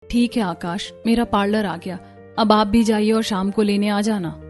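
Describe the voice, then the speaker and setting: A woman's voice: mid-range voice, steady tone, normal speed, darkish tone, soft background music, natural voice. A woman voicing a dutiful, earnest young daughter-in-law in a cartoon story, polite and deferential. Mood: concerned. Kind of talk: Hindi cartoon story voice acting.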